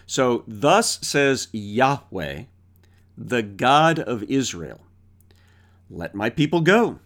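A man teaching a Bible lesson unscripted, thinking aloud and pausing often with filler sounds. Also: medium pitch.

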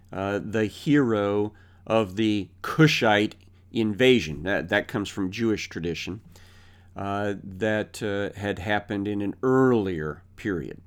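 A man teaching a Bible lesson unscripted, thinking aloud and pausing often with filler sounds. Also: on the low side, smooth.

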